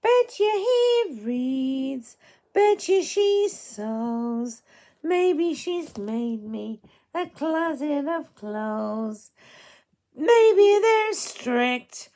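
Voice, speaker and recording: A tired, hoarse woman voicing a hopeful, tender orphan girl from a storybook in a soft, wistful manner. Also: high voice, very animated, extremely slow, pitched above the performer's own voice, heavily acted. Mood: hopeful, singing.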